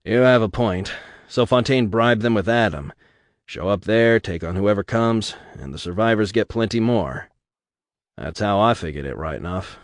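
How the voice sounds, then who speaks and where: A man playing a brisk, talkative workman who reasons things out aloud. His voice sits a touch higher and louder than the narration, in a dry, close storybook sound. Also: animated, no room sound.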